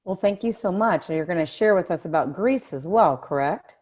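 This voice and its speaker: A woman with a low voice moderates a class, thanking the presenter in short remarks. She sounds a bit distant from the mic. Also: expressive, moderate pace, darkish tone.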